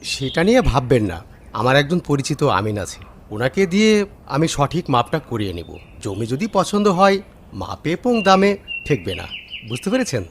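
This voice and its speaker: A man's voice: mid-range voice, very animated, normal speed. A man playing an ingratiating, hospitable landowner in a radio drama. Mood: obliging. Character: obliging male landowner keen to sell. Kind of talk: Bengali radio drama acting. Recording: slightly noisy with birdsong.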